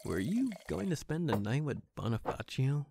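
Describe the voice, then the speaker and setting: A man's voice: mid-range voice, very animated, moderate pace, gravelly, dark tone, slight background noise. A man playing an earnest private detective who narrates his own case in a noir-parody audio drama. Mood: shocked, subdued.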